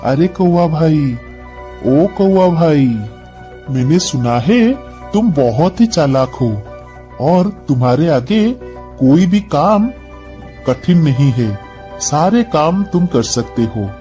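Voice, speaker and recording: A man voicing a clever, scheming fox in a cartoon moral story, measured and persuasive. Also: fairly high pitch, animated, warm tone, soft background music. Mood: sweetly flattering. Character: clever male fox, justice-minded, smoothly flattering schemer.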